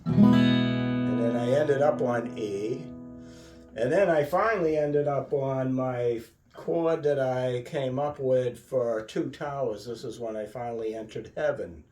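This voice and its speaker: A man giving an informal guitar lesson, thinking aloud in a musing, halting way and often checking in with a questioning tag. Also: mid-range voice. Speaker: guitar teacher. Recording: roomy, distant mic, loud guitar. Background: acoustic or electric guitar playing.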